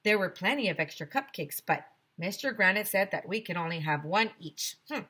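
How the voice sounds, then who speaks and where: A woman's voice: mid-range voice, lively intonation, a little fast, pushed and bright, husky. A woman teacher reading a children's story aloud expressively, with chatty asides to young listeners.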